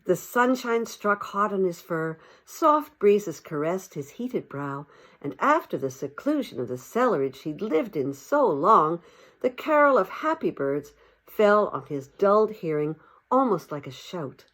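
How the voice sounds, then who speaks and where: Woman reading a story with lively intonation. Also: medium pitch, measured pace. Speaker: audiobook narrator. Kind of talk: expressive storybook narration.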